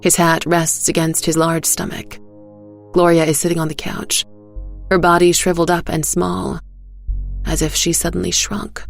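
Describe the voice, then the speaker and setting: A woman's voice: fairly low pitch, level tone, moderate pace, vocal fry, faint music behind. A woman reading a scripted first-person story as a narrator.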